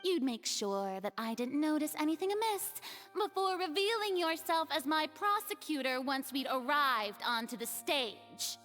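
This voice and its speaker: A woman's voice: sits high, expressive, normal speed, fairly bright tone, faint music behind. A woman voicing a proud, theatrical young-looking goddess in a video game, with a pompous, grandly articulated delivery. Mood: grand, composed. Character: theatrical haughty female god of water, young-looking, proud.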